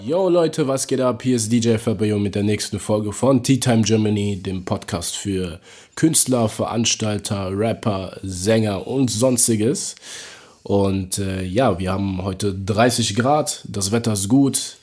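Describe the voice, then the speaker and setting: A man's voice: lowish voice, a little slow, a little mellow. A man hosting a casual podcast interview, laid-back and slangy with frequent fillers. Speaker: podcast host.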